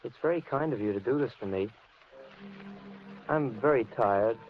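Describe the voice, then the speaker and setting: Casting: actor as weary, confused male drifter, courteous with quiet menace, medium pitch, noisy old radio thriller. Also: narrow, tinny audio, close to an everyday voice. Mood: weary, eerie. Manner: weary, polite, halting. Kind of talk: 1940s radio suspense acting.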